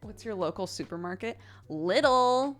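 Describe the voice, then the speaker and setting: A woman's voice: mid-range voice, expressive, measured pace, soft background music. A woman in her thirties streaming from home, chatting casually with her viewers, giggling often and swearing offhandedly.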